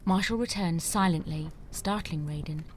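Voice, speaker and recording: Low-pitched woman narrating a screenplay. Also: moderate pace, slight background noise. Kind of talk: scripted narration of stage directions.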